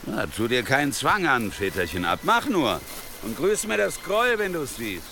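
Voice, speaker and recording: A man's voice: mid-range voice, very animated, moderate pace, noisy background. An actor playing a cruel, mocking man in a German audio drama. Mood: sneering. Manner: sneering, taunting. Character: cruel mocking man who begs when doomed. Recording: loud music behind the voice.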